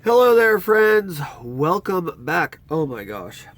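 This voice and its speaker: A man with a stuffed-up nose chatting casually through his movie collection as a vlogger, rambling and talking in a lively way. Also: high-pitched, slow pace, over music. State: congested nose.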